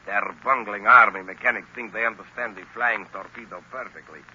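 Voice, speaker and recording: An actor playing a scheming, arrogant professor with a precise, menacing delivery in a 1930s radio thriller. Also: male voice, fairly low pitch, steady tone, brisk, breathy edge, full character voice. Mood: cold, gloating. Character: scheming villainous male professor, coldly arrogant and uneasy. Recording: bright, lo-fi old radio recording, faint noise.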